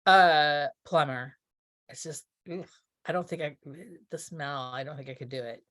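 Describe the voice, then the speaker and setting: A woman's voice: low voice, unhurried, fairly bright tone. A middle-aged woman from Texas giving casual interview answers, thinking aloud and correcting herself as she goes. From Texas, United States. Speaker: interview guest, theatre producer.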